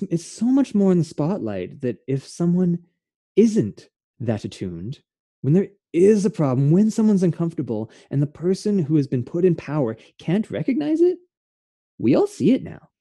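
A man's voice: high-pitched, big pitch swings, moderate pace, mellow, dry recording, clean studio sound. A man, a theatre artist, hosting an earnest and reflective conversation. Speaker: interview host, theatre artist.

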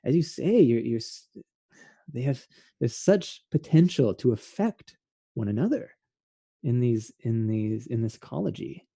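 A man's voice: medium pitch, expressive, a little slow, gravelly, mellow, dry recording, clean studio sound. A man, a theatre artist, hosting an earnest and reflective conversation. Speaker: interview host, theatre artist.

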